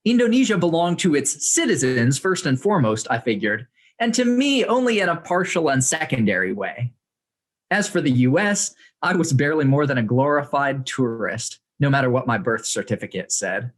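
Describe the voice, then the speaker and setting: A young adult man from the United States, reading aloud from his own book to an audience in a measured way with dry humor. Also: mid-range voice, sing-song. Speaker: author reading and speaking to an audience.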